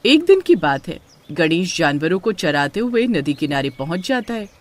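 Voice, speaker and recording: A woman's voice: fairly low pitch, very animated, moderate pace. A woman reading scripted horror story narration as the storyteller.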